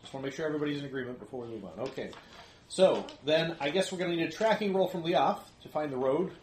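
A man acting as game master at a tabletop session, relaxed and often laughing. His voice sits a little high and bounces around a bare, echoey room. A faint hiss runs under the recording. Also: quick pace.

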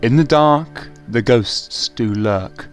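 A man's voice: mid-range voice, takes it slowly, over music, natural voice. A man playing an ominous, florid narrator, speaking portentously in an amateur gothic horror radio play. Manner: portentous, theatrical.

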